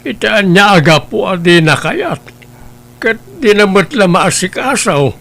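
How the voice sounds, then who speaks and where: A man playing a grave elderly grandfather who speaks slowly and reproachfully in a family drama. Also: sits high, lively intonation, gravelly, fairly bright tone, exaggerated voice. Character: grave elderly grandfather, stern but caring. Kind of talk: Ilocano radio-style family drama.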